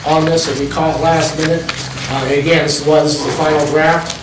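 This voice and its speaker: A man on a planning board walks a committee through a budget plan at a public meeting, explaining page by page with frequent ums. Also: on the high side. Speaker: planning board member presenting. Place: town meeting room. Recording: echoey meeting room from far off, noisy.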